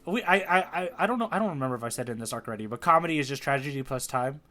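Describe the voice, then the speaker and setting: A man's voice: medium pitch, lively intonation, racing, sharp tone. A man co-hosting a podcast in casual chat, enthusiastic and slangy. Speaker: podcast co-host. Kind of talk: casual chat about manga.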